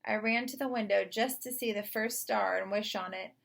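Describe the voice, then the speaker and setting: A woman narrating a children's story in an expressive read-aloud style. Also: medium pitch, normal speed, gravelly. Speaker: storybook reader.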